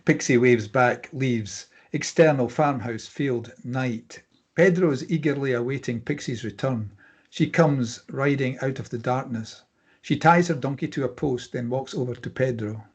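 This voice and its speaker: A man serves as narrator at a screenplay table read. He delivers scene headings and stage directions as scripted narration. His voice is husky and mid-pitched. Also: unhurried.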